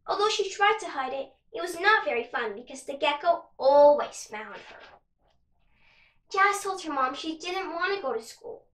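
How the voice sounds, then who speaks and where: A woman giving an expressive read-aloud narration of a children's story. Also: high-pitched, normal speed. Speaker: storybook reader, actor. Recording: roomy, some distance from mic.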